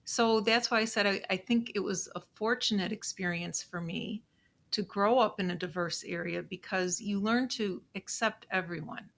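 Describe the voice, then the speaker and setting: A woman in her sixties with a Chicago accent, speaking softly and in a measured way, with frequent pauses, as a podcast guest. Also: medium pitch, creaky. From Chicago, USA. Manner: soft-spoken, measured, with many pauses and "um"s. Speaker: legal assistant and podcast guest. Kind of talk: casual interview chat.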